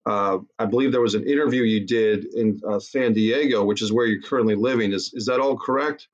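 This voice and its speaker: A man's voice: fairly low pitch, fairly quick, dull audio. Friendly male guest host. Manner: friendly, hesitant questions. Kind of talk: casual interview.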